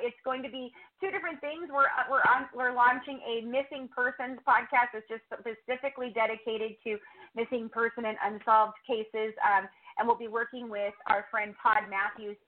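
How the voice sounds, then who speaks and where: A middle-aged woman chatting casually as a podcast-host guest in an interview. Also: fairly high pitch. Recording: thin phone-like call audio.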